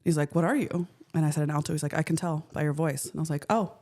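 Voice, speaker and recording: A woman with an alto voice, hosting a podcast in a playful, teasing manner. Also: a little mellow. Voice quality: lowish alto voice. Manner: playful, quick to laugh. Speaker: podcast host. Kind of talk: casual chat.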